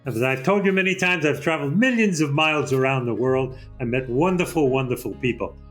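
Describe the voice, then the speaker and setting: Older man, show host, measured and chatty, faint music underneath. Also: mid-range voice, fairly bright tone. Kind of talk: interview hosting.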